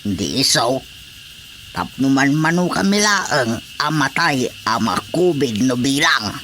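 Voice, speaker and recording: Man acting a slow, stubborn old Ilocano villager. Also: medium pitch, very animated, sharp tone, faint music behind, full character voice. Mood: stubborn, resigned. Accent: Ilocano Filipino. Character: stubborn, resigned elderly village father. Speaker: voice actor. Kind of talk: Ilocano radio drama acting.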